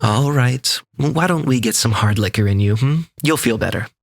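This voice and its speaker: A man's voice: mid-range voice, moderate pace, gravelly. A man playing a patient, kindly announcer and narrator in a scripted comic audio drama. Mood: consoling.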